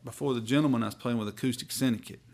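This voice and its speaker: A musician from North Carolina with a Southern accent, telling stories in a folksy, rambling way during a hotel-room interview. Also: male voice, mid-range voice, fairly even intonation, gravelly, darkish tone. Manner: rambling, folksy, drawn-out phrasing. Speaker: bluegrass musician guest. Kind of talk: casual storytelling interview.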